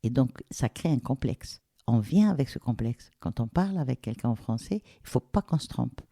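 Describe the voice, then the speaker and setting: A middle-aged woman from Algeria, speaking reflectively and pausing to search for words in a casual interview. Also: very deep voice, expressive, creaky, dark tone, breathy edge, dry recording, studio quality. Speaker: interviewee, the host's mother.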